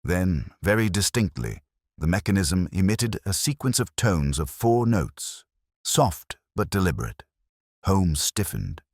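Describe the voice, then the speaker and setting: Very deep-voiced man narrating a story. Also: big pitch swings, unhurried, no room sound, studio quality. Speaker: audiobook narrator. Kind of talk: scripted dramatic story narration.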